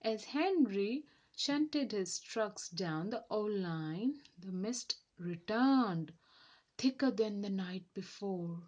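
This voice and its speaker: A woman reading a children's story aloud as an expressive narrator. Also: medium pitch, slow.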